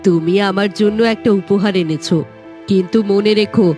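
A woman's voice: medium pitch, steady tone, moderate pace, dark tone, faint music behind, close to an everyday voice. A woman playing a stern, suspicious queen mother in a fairytale cartoon, speaking reproachfully. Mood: coolly warning. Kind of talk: Bengali fairytale cartoon acting.

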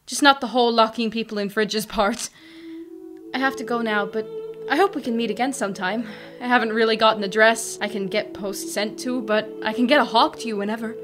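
A woman acts a young elf archer in a fantasy audio drama. Her voice is on the high side, plain-spoken and earnest, over soft music. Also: fairly even intonation, fairly quick, close to an everyday voice. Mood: sincere. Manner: matter-of-fact, sincere.

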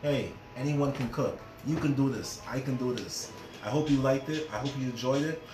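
A man's voice: mid-range voice, normal speed, over music. A middle-aged man hosting a casual cooking demonstration, chatty and repeating phrases for emphasis. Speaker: chef and cooking show host.

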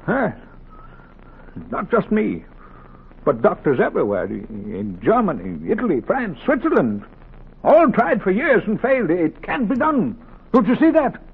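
An actor playing a gruff, grieving, weary middle-aged doctor in a 1940s radio drama, with a gravelly, husky voice. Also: male voice, high-pitched, wildly swooping pitch, a little slow, pauses often, exaggerated voice. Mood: bitter, agitated. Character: gruff, grieving older Southern doctor and surgery teacher. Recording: tinny old 1940s radio recording.

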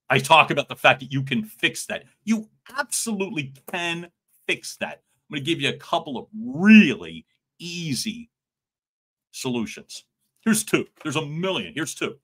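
A middle-aged man coaching live from a room at home, close on a big studio mic. His voice is loud and bright, and it swings widely in pitch as he jokes with his viewers. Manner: animated, joking with viewers, loud and expressive. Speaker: communication coach. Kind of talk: livestream coaching talk. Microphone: large studio mic in front.

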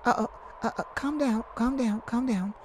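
A woman's voice: fairly high pitch, normal speed, a little hiss or hum, natural voice. A woman voicing a courteous, easily flustered, ageless celestial afterlife caseworker in an ASMR roleplay, hesitant and apologetic. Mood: awkward, consoling. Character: polite, flustered, thousands-year-old celestial afterlife caseworker.

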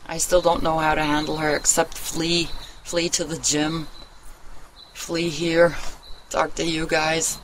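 A woman's voice: sits low, poor audio. Rambling, candid woman vlogger over outdoor noise. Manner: rambling, candid, swears casually. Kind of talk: casual confessional chat to camera. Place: outdoors in a wooden shelter in the woods. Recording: clear outdoor ambient noise.

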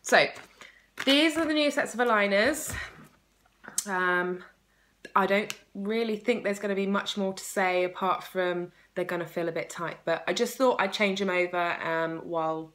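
A woman's voice: medium pitch, normal speed, a bit bright. A woman in her thirties chatting casually and enthusiastically to camera, recorded on a phone in a room at home. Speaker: vlogger. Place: small room at home by a window, indoors. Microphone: phone at arm's length.

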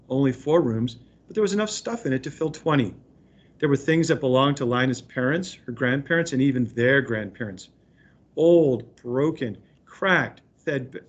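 A man's voice: medium pitch, slightly rough, muffled recording. A man speaking as an elementary school teacher, reading aloud and explaining to a remote class of children in an encouraging way.

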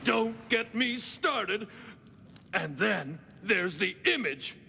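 A man voicing a boastful, grumpy male dragon who feels sorry for himself and talks in a theatrical, complaining way with sudden shouts. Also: high voice, animated, slow, creaky, noisy background, full character voice. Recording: music playing underneath.

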